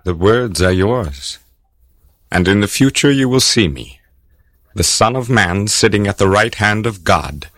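A calm, grave prisoner. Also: male voice, lowish voice, no echo, clean studio sound. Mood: solemn. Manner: solemn, measured. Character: Jesus on trial, calm grave man. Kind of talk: radio drama acting.

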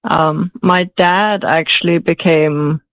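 A woman's voice: sits low, fairly even intonation, slow, no echo, highs cut off. A woman in her forties raised in Denmark, answering interview questions hesitantly with many fillers and restarts. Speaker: guest, author.

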